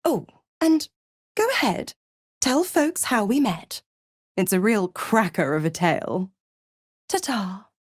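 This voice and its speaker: A woman's voice: medium pitch, very animated, slow pace, pauses often, breathy edge, dry recording, clean studio sound, full character voice. An actress voicing a seductive, menacing female devil in a video game, theatrical and condescending, savoring each word as she taunts. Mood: mocking, playful. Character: smug, seductive, menacing female devil, cruel mistress of pacts.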